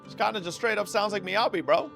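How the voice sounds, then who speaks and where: A man's voice: high voice, fast talker, gravelly, pushed and bright. Informal male streamer over game music. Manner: slangy, informal. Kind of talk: casual playthrough commentary and reading aloud.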